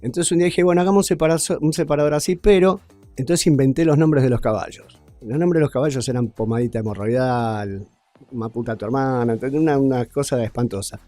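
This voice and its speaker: A man's voice: medium pitch, quick pace, mellow, no room sound. An Argentine man, a comedy writer for radio, telling a casual anecdote. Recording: music under the voice.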